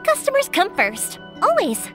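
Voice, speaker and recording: A woman voicing a cheerful, energetic young woman in a video game, chatty, earnest and expressive. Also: extremely high pitch, a little slow, faint music behind, heavily acted. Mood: friendly, reassuring. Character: cheerful, energetic young woman who runs a fireworks store. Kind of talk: animated video game voice acting.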